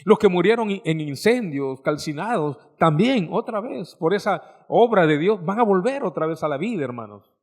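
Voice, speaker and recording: Higher-voiced Peruvian man preaching emphatically. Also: sing-song, normal speed. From Peru, coastal fishing port. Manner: didactic, emphatic, addresses listeners as brothers, poses questions and answers them. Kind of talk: expository sermon to a congregation.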